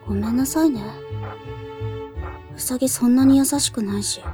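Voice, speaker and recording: A woman voicing a bitter, spiteful female rabbit spirit in a radio drama, speaking in cold, breathy half-whispers. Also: high voice, moderate pace, mellow, faint music behind. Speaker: rabbit character (ウサギ). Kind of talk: anime-style radio drama acting.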